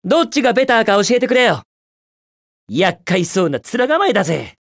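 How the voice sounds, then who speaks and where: A man's voice: high-pitched, very animated, brisk, vocal fry, a bit bright, no echo, studio quality, exaggerated voice. A man voicing a cocky, carefree young hedgehog speedster hero in a video game, with a cool, brash, quippy delivery. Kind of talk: Japanese video game character voice lines.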